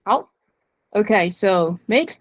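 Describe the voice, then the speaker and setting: A woman's voice: medium pitch, lively intonation, extremely slow, no echo. A woman hosting an online information session, introducing it in a courteous, informal way.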